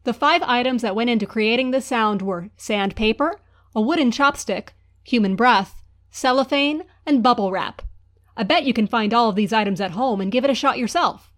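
A woman's voice: fairly high pitch, normal speed, a bit bright. A woman presenting a quiz segment as a guest, explaining step by step. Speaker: guest Foley artist.

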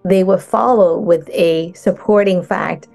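A woman speaking as a guest coach in a casual interview, talking in halting bursts with many restarts. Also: on the low side, steady tone, gravelly, a little mellow, faint music behind.

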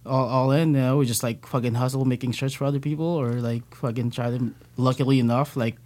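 A man from the Philippines talks as a guest on a casual podcast. His pitch sits in the middle range, and he rambles along, packing his speech with fillers and easy swearing. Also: steady tone, brisk, a little mellow. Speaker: band member, guest.

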